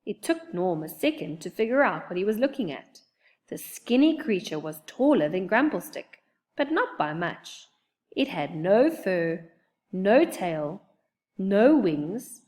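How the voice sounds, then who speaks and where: A woman reading a children's book aloud in an expressive narrating style. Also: medium pitch, slow pace. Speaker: storybook reader.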